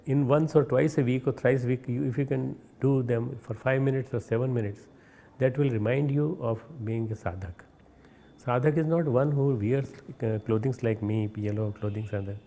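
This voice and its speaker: A man with an Indian accent giving a recorded spiritual message, earnest and instructive, often pausing and restarting words. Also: medium pitch, gravelly, mellow, a little hiss or hum. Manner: hesitant, repeats and restarts words. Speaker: spiritual leader.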